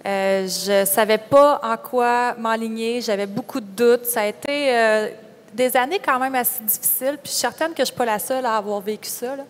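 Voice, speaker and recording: A woman's voice: fairly high pitch, moderate pace. A young woman with a Quebec French accent giving a candid, informal personal account as a conference panelist. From Quebec, Canada. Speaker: panelist, farm entrepreneur. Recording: reverberant hall sound.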